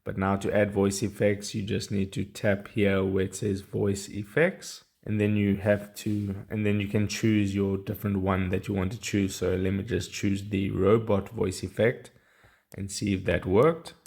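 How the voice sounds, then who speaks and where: A man's voice: lowish voice, little pitch movement, a little slow, vocal fry, darkish tone, breathy edge. A man presenting a casual step-by-step how-to tutorial in a plain, instructional way.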